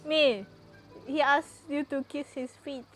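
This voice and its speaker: A woman's voice: sits high, steady tone, takes it slowly. A woman doing casual livestream game commentary, mixing English with Malay. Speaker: game streamer. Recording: loud game music under voice. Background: game music.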